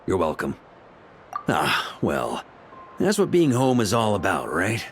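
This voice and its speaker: A laid-back knight leader in a game, swings his pitch around a lot, soft music behind. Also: takes it slowly, heavily acted. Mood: reflective. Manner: relaxed, reflective, friendly. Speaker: knight back from a long expedition.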